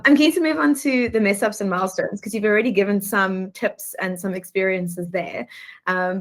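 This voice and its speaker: A woman hosting a live Q&A, asking questions and affirming her guest with soft murmurs. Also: medium pitch. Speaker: interview host. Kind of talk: live Q&A interview.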